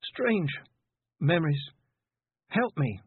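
A man voicing a troubled, resentful young witch girl in an audiobook, with a halting, muttering manner. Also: mid-range voice, sing-song, breathy edge, no room sound. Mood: faint, distressed. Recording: tinny, phone-like narrow band.

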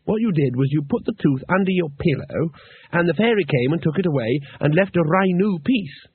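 A naive, fussy tourist, lifted high and prim, as a male reader puts him on. He talks with earnest insistence through a husky voice. The sound is narrow and phone-like, dry with no room. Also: animated, a little fast, no echo, exaggerated voice. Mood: eager.

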